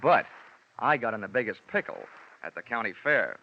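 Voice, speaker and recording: A man's voice: fairly low pitch, big pitch swings, moderate pace. A man playing a wry, sardonic, wisecracking insurance investigator in an old-time radio detective drama, narrating and trading dialogue theatrically. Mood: wry, brisk. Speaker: lead actor. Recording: dull old radio recording with music.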